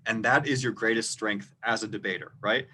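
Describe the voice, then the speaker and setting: Minnesota guy coaching debate kids, talks loud and slow-ish, every word separate, little bit of hiss behind. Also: lowish voice, steady tone. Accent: rural Minnesota. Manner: speaks loudly and deliberately, word by word. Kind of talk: instructional video talk.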